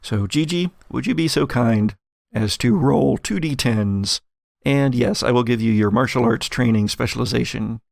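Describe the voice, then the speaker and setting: Gravelly-voiced man running a tabletop game. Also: mid-range voice, moderate pace, no echo, studio quality. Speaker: game master and host. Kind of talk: narrating an actual-play tabletop roleplaying game.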